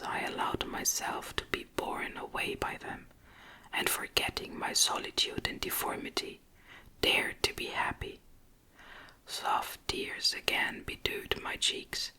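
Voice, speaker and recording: Woman whispering a gothic audiobook, bright and breathy. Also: rough recording. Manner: gentle, measured, deliberate phrasing. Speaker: audiobook reader. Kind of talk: ASMR whispered reading of a gothic novel. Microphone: close microphone.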